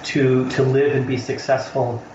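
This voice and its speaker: A man's voice: medium pitch, level tone, a little slow, noisy background. Middle-aged American man, reflective guest, restarts a lot while he thinks; echoey, slightly muffled sound. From United States. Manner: thoughtful, repeats words while searching for phrasing. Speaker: college instructor, interview guest. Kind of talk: reflective interview conversation. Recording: roomy, slightly dull recording.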